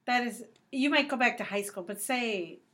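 A woman co-host on a family podcast who chimes in with quick, skeptical questions. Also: fairly high pitch, expressive, crowd noise. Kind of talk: casual family chat.

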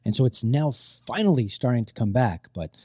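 Middle-aged guy hosting, voice kinda strained, rambly chat, warm low tone, dead dry studio sound. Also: expressive, normal speed, husky, no echo. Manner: chatty, rambling, repeats himself. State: strained voice, recovering from voice loss. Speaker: host. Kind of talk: casual talk show chat.

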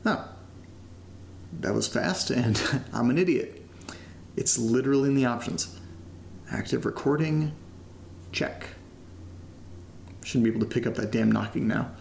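A young man talks into his recorder in a found-footage horror drama. His voice is mid-pitched with plenty of fry, and he rambles informally, sweary and self-deprecating. A faint noise sits behind him. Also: measured pace, long pauses, a little mellow. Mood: irritated, self-mocking. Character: casual, upbeat young man documenting a creepy new house.

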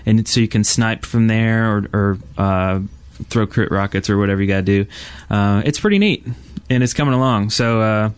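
A man's voice: medium pitch, smooth, no echo. A man co-hosting a gaming podcast, talking casually and with enthusiasm about what he describes. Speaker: podcast co-host.

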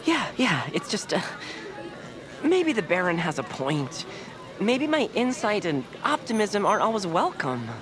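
A woman's voice: medium pitch, big pitch swings, measured pace, crowd noise. A woman playing an earnest, nervous optimist in an audio fiction drama, speaking in a hesitant, sincere way. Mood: nervous, doubtful.

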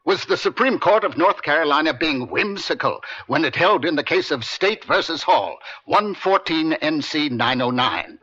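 An actor playing an eloquent, combative defense attorney in an old radio drama, speaking in formal rhetorical style. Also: male voice, a little high, lively intonation, moderate pace, hardly any pauses, pushed and bright. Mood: formal, insistent. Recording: dull lo-fi vintage radio.

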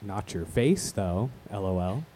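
Slow, terse radio host. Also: male voice, lively intonation, mellow. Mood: guarded flirting. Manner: terse, low-key, clipped replies. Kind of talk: radio play acting. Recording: some background noise.

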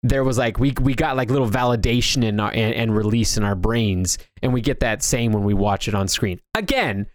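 A man's voice: mid-range voice, no room sound. Man, podcast co-host, casual discussion, rambling delivery, frequent restarts, dry sound. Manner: rambling, halting, restarts thoughts often. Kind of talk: casual discussion of movies and games.

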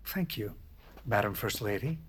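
Aging president, swooping, halting delivery. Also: male voice, lowish voice, expressive, a bit bright, slight background noise. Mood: pleased. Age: older. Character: aging, ailing American president, proud yet uncertain. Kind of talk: audio drama dialogue.

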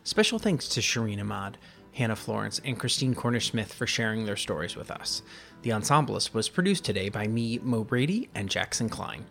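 A man's voice: lowish voice, lively intonation, moderate pace, gravelly, fairly bright tone. An American man reading scripted podcast credits as the show's host. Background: guitar music.

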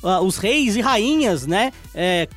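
A man's voice: sits high, expressive, slow, fairly bright tone, faint music behind. A man with a Brazilian Portuguese accent explaining esports news in casual co-host chat, often checking in with the listener. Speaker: esports show co-host and commentator.

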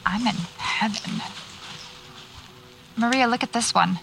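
A bookish, weary woman writer in an audio drama. She speaks slowly in a medium-pitched voice with a creaky edge. The tape is noisy, with a low rumble around her. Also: a bit bright, heavily acted. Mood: happy, absorbed. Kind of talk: naturalistic audio drama acting.

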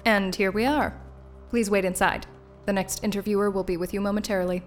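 A woman's voice: mid-range voice, steady tone, fairly quick, over music, natural voice. A woman acting in an audio drama as a detached, procedural attendant with clipped, formulaic politeness. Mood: procedural, evasive. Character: detached, procedural female attendant, evasive and unbothered.

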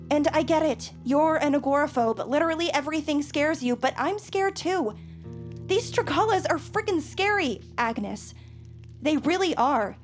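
Young superfan, nerdy, excitable, rambling, highish pitch, audio drama, faint music bed. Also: female voice. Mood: urgent, pleading. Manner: rambling, earnest explanations. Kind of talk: scripted audio drama acting.

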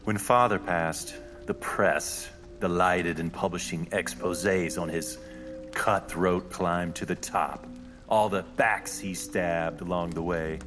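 An actor playing a haughty, secretive rich heir in an audio drama, speaking in formal, deliberate, pompous phrases. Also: male voice, deep voice, fairly even intonation, long reverb tail, over music. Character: haughty wealthy male heir, secretive and imperious.

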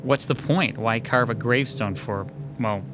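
A man's voice: medium pitch, normal speed, music in the background, lightly acted. An actor playing an uneasy male artist who narrates his own story in a radio drama, hesitant and polite. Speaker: narrator and lead character.

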